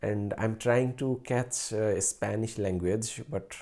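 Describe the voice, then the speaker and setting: Young Bangladeshi man, mellow and low. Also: steady tone, slow. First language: Bengali. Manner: rambling, with frequent fillers and self-corrections. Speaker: researcher doing a live stream. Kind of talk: casual live-stream chat.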